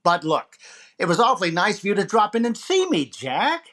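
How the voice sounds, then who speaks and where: Radio variety host, played by a man, wisecracking and theatrical, very lively intonation. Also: high voice, normal speed, full character voice. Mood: bantering. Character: wisecracking male comedian hosting a radio variety show. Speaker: radio show host and comedian. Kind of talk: 1940s radio comedy recreation.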